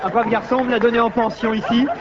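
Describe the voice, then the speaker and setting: A male radio host with a high, swooping voice teases and banters playfully. The sound is dull, with a loud, noisy crowd behind him. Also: level tone, very fast. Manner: teasing, playful. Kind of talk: bantering live radio show.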